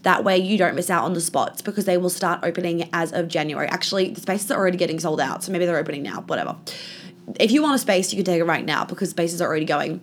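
A woman with a husky, hoarse voice from laryngitis, hosting a podcast in a casual, candid chat and swearing freely. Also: lowish voice, fast. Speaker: podcast host and life coach. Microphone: video call.

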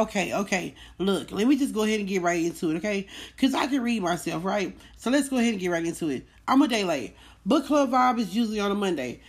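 A woman hosting a podcast, talking in a casual, informal way and repeating phrases for emphasis. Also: medium pitch. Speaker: podcast host. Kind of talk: casual chatty monologue.

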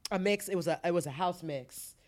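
A woman co-hosting a casual group chat, telling things in a slangy, animated way. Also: on the low side, brisk, crowd noise. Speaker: podcast co-host.

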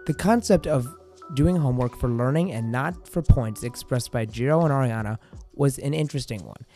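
A young man hosting a student podcast, reading scripted linking narration in a measured way. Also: medium pitch, mellow. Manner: measured, reads linking lines. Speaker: host.

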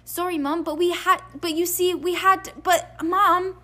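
A woman's voice: very high-pitched, steady tone, measured pace, bright, forceful tone, voice pushed up, heavily acted. A woman voicing a guilty, cheeky teenage boy in an audiobook, faltering as he appeals to his mother. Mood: cowed, pleading. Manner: stammering, falsely jaunty.

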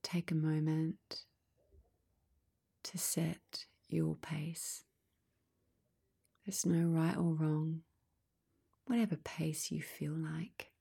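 A woman guides a meditation in a low, husky near-whisper with a creaky edge. She speaks very slowly and calmly, in short phrases with long pauses. Her tone stays soft and even throughout.

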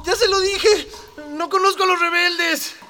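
A frightened young radio-play prisoner, begging in pain. The voice is extremely high and sharp, and the words come slowly. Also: male voice, fairly even intonation, heavily acted. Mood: terrified, pleading. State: pained, pleading. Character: pale, terrified young alien male prisoner under torture. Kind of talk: radio drama acting.

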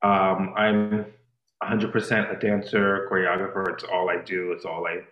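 A low-voiced man from Boston, Spanish his first language, talking over his life in an unhurried, thoughtful way. He stops and restarts often, with plenty of fillers. The room gives his voice a noticeable echo. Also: level tone, dull audio. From Boston, Massachusetts. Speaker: guest choreographer. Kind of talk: casual interview chat. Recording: echoey room.

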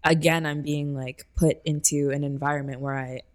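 Woman, thirties, low-pitched voice, casual conversational storytelling. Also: steady tone, normal speed. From Canada. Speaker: interview guest.

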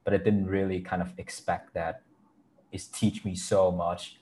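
Male podcast host, native Vietnamese speaker with Vietnamese accent, deep voice, informal conversational delivery. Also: level tone, measured pace, darkish tone, slight background noise. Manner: informal, non-native grammar. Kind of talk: casual chat.

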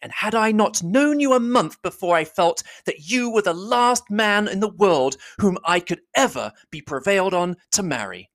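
A reader voicing an indignant, proud young woman who accuses in heated, rapid declamation. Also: medium pitch, animated, fairly bright tone, pitched above the performer's own voice. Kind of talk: audiobook reading.

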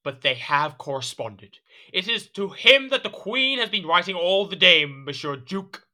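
Jealous, petulant monarch in audio drama: indignant, theatrical, very forceful tone, swooping intonation. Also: male voice, high voice, lively intonation, unhurried, full character voice. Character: petulant, jealous, suspicious French king. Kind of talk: audio drama period acting.

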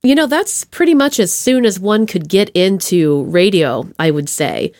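A woman hosting a podcast in a casual chat, with a playful, slangy and expressive way of talking. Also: medium pitch, unhurried, no echo. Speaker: podcast host.

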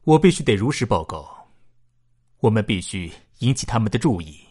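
Husky, animated, insistent male analyst played by an actor. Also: lowish voice, moderate pace. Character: insistent, alarmed male space-fleet data analyst. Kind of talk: Chinese science-fiction radio drama acting.

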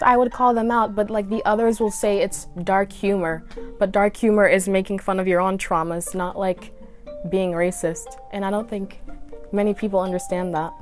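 A teenage girl, a Creole speaker, talks as a student guest on a podcast. Her pitch is average and she answers in a casual, conversational way. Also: darkish tone. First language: Haitian Creole.

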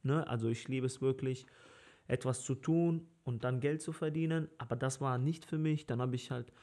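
A man's voice: mid-range voice, normal speed, warm tone. A man in his thirties, a party organizer, chatting casually on a podcast with lots of fillers and easy laughter. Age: 30s. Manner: easygoing, laughs often, many fillers.

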